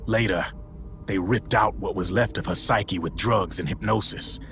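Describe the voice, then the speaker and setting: An actor playing a gruff middle-aged male soldier calmly recounting a grim story in a game cutscene, with a matter-of-fact manner. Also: deep voice, moderate pace, faint music behind. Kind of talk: video game cutscene acting.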